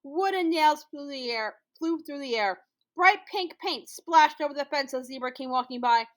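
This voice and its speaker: Female school storyteller, high-pitched, expressive picture-book reading with emphatic, drawn-out repeated words. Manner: emphatic, with drawn-out repetitions for effect. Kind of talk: expressive children's picture-book read-aloud.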